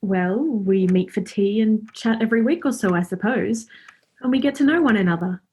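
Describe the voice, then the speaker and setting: Woman as Laura, chatty, slightly husky and cheeky. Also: mid-range voice, normal speed, darkish tone, natural voice. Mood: friendly. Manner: informal, rambling, forthright. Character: Laura, chatty, cheeky, friendly young woman befriending Death. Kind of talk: radio play acting.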